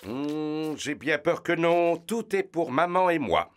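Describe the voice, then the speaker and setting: A man voicing a good-natured, food-loving pig dad in a preschool cartoon, jovial and expressive. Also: a little high, takes it slowly, no echo. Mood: cheerful. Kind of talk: animated preschool cartoon dubbing.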